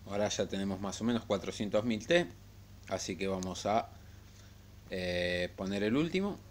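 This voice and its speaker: Man, lowish voice, casual game tutorial, halting and rambling, noisy humming recording. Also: animated. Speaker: gaming tutorial host. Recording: clear background noise and hum.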